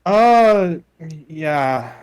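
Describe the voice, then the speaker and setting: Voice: medium pitch, animated, drawn-out words, darkish tone, clean tone, dull audio. A performer voicing a nervous, earnest young male rookie lawyer in a video game, hesitant and stammering. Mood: taken aback.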